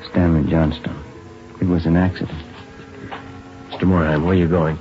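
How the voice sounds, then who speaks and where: A sober police detective voiced by a man with an extremely deep voice. He speaks in short, understated, matter-of-fact phrases, in the manner of old radio drama. The sound is dull and muffled. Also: level tone, normal speed, natural voice. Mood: calm, sober. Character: sober, plain-spoken police detective narrating his case. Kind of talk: 1950s radio drama narration. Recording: muffled old radio recording, faint music.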